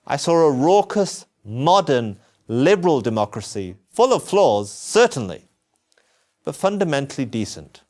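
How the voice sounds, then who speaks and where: Earnest man narrating, lively pitch. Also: a little slow. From England. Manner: earnest, emphatic storytelling. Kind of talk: scripted first-person narration.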